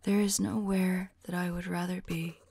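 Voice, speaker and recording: A woman playing a tender, devoted vampire lover in an intimate ASMR roleplay, speaking softly and affectionately, often in a whisper. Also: fairly low pitch, level tone. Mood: wistful, grateful. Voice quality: soft, breathy, often whispering. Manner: slow, wistful, affectionate.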